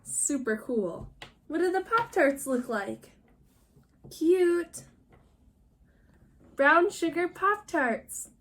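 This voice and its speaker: High-pitched woman hosting a toy unboxing. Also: lively intonation, extremely slow, pauses often, a bit bright. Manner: simple, child-directed phrases and small exclamations. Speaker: host of a children's toy unboxing video. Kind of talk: casual toy unboxing narration with simple commentary.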